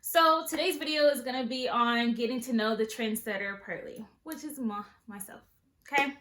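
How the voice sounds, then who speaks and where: Woman, twenties, sassy chatty vlogger, somewhat high voice, echoey room. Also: normal speed, a bit bright. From Southern California. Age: 20s. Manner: chatty, sassy, playful asides. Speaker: beauty vlogger. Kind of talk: casual chat.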